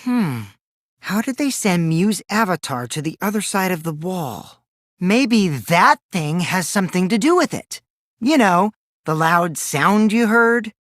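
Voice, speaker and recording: A man's voice: high-pitched, lively intonation, measured pace, fairly bright tone, no echo, clean studio sound. An actor playing a mischievous teenage boy in an anime game dub, a gleeful liar who teases and taunts theatrically, shifting between singsong mockery and sly menace. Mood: scheming, explanatory.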